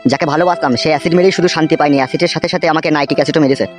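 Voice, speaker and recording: A man's voice: a little high, fairly even intonation, racing, faint music behind, lightly acted. A man voicing a blunt, foul-mouthed, world-weary young henchman in an amateur comedy cartoon. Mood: bitter storytelling. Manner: blunt, swearing, rural colloquial talk.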